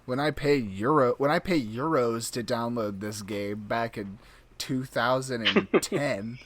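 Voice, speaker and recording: A man's voice: medium pitch, measured pace, fairly bright tone. A man co-hosting a podcast in casual banter, with sarcastic, mock-dramatic riffs. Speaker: podcast co-host. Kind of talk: casual joking podcast chat.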